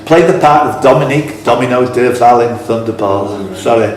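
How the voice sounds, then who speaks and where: A man's voice: medium pitch, normal speed. A man working as a quizmaster, reading out questions with blunt, teasing, informal banter. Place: echoey indoor club room. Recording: very echoey room, faint background noise.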